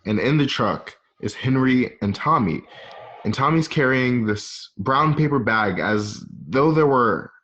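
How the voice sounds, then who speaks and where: A man's voice: medium pitch, lively intonation, unhurried, fairly bright tone. A man narrating stage directions for a radio play in plain speech. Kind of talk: plain spoken scene narration. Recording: slightly dull home recording.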